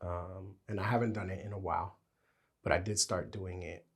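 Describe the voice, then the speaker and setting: A man co-hosting a podcast in casual chat, losing his voice and thinking out loud as he talks. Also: deep voice, steady tone, moderate pace, husky. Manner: talks his thoughts out as he goes. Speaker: podcast co-host.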